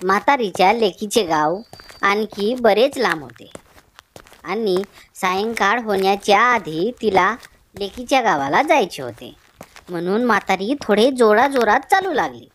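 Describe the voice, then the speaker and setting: A woman's voice: medium pitch, expressive, unhurried, slight background noise. A woman reading scripted narration for a children's folk tale as its storyteller.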